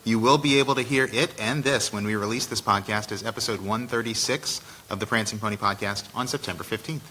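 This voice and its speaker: A male co-host with a lowish, bright, forceful voice asks questions and reads the closing plugs before a live audience. He keeps things friendly and easygoing, with a faint room ambience behind him. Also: fairly even intonation, a little fast, a little hiss or hum, poor audio. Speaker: podcast co-host. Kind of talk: live interview and wrap-up.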